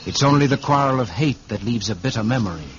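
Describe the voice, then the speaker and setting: An actor playing Tomorrow personified, an all-knowing, calm male guide who speaks in measured, poetic phrases in an old-time radio drama. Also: medium pitch, a little hiss or hum, lightly acted. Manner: measured, solemn, poetic narration of visions. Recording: muffled vintage radio recording with music behind.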